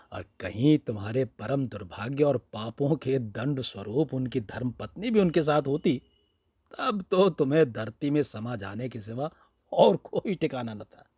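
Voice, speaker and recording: A man narrating a story in an expressive, conversational way, dramatizing rhetorical questions as he goes. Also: medium pitch, slightly rough, no echo. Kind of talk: expressive audiobook-style storytelling.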